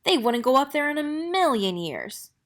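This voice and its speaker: An eager young boy from a picture book, played lightly by a woman reader. The voice is lifted a little and sounds earnest and expressive. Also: high-pitched, moderate pace, voice pushed up. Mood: thoughtful. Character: eager young boy who longs for a pet.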